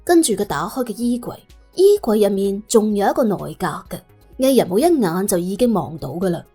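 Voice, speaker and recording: A woman's voice: mid-range voice, very animated, fairly quick, mellow, husky, faint music behind. A woman with a Cantonese accent narrating a story in a colloquial, dramatic storytelling manner. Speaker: audiobook narrator.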